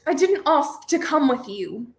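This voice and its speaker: A young woman acts out a feisty, prim young heroine of a 1930s radio thriller. The voice is very high and swoops with emphasis, recorded in an echoing room. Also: very animated, unhurried, gravelly, full character voice. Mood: indignant. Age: young adult. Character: feisty, indignant young woman, handcuffed unwilling companion. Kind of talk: 1930s radio play acting. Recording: roomy, echoing home recording.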